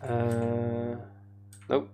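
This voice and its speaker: A man's voice: mid-range voice, completely flat delivery, very slow, warm tone, faint music behind. A British man chatting casually on a livestream while he plays a game, rambling and thinking aloud. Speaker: streamer.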